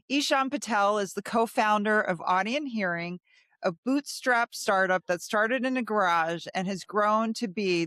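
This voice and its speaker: A woman hosts a podcast interview in a chatty, enthusiastic way, often restarting her sentences. Her voice sits at a middle pitch for a woman. The recording is dry and close, with no room sound. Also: a bit bright, no echo. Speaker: podcast host. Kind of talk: interview, casual chat.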